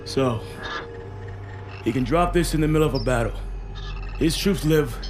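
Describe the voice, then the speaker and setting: An actor playing a stern, decisive military commander in a video game, with a terse, commanding delivery. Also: male voice, mid-range voice, moderate pace, background noise, natural voice. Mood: grim. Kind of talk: scripted game drama.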